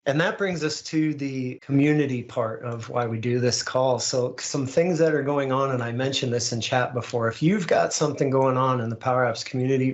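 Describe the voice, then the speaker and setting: A man hosting an online tech community call, presenting news in an informal, conversational, upbeat way. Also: mid-range voice. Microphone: online call microphone. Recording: slightly dull, lo-fi online call audio.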